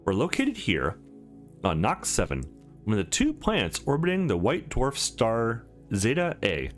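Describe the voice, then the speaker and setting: An upbeat, courteous woman receptionist from a sci-fi visual novel, read by a man. She delivers formal sales patter with very lively inflection. Soft music plays underneath. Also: medium pitch, measured pace. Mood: informative. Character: courteous, upbeat female company receptionist in space. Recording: music behind the voice.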